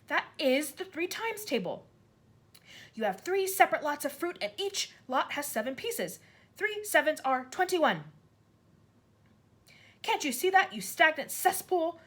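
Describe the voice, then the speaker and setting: A woman's voice: very animated, brisk, bright, forceful tone. A woman voicing a huge, bullying headmistress who barks insults at children. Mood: raging. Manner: barking, insulting, booming.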